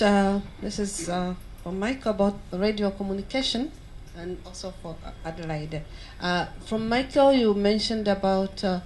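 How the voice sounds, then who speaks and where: A woman's voice: lowish voice, darkish tone, slight background noise, poor audio. A woman from Tanzania in a conference audience, asking an earnest question with some hesitation. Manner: earnest, halting with fillers. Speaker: audience member. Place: large conference hall. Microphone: handheld mic.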